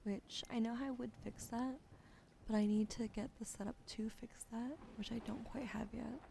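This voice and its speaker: A woman streamer chatting with viewers during an ASMR livestream, often whispering softly, close to a sensitive studio mic. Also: a little high, steady tone, creaky, a little hiss or hum. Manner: often whispering, soft and unhurried. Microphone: sensitive studio mic on a boom arm.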